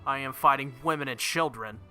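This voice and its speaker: A man's voice: mid-range voice, fairly quick, pushed and bright, smooth, soft background music. A man voicing an arrogant, mocking male villain from a visual novel game, haughty and sneering. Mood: gloating.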